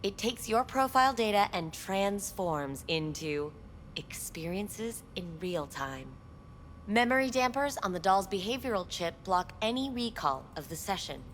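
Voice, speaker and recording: A woman playing a poised, enigmatic receptionist at a futuristic club in a video game, with formal, measured, coy delivery. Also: medium pitch, expressive, slight background noise, lightly acted. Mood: coy, inviting. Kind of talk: video game voice acting, scripted dialogue.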